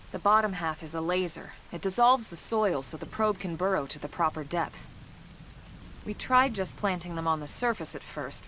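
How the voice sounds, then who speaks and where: An actress playing a composed, brisk military officer who instructs with measured authority in a video game. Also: female voice, fairly low pitch, a bit bright, a little hiss or hum. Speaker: squad leader and trainer. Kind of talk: scripted video game dialogue.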